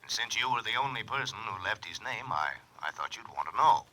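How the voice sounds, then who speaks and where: Male doctor on the phone, lowish but piercingly sharp tone, halting and solemn, vintage radio play. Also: lively intonation, exaggerated voice. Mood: grave. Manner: hesitant, apologetic. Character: grave, considerate male hospital doctor on telephone. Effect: telephone voice. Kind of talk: 1940s radio drama acting.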